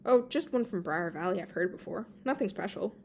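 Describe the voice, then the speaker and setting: A woman plays a breezy male fae student in a fan fiction reading. He is teasing and caring, chiding and giving instructions at a middling pitch, over faint recording noise. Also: lively intonation, fairly quick, close to an everyday voice.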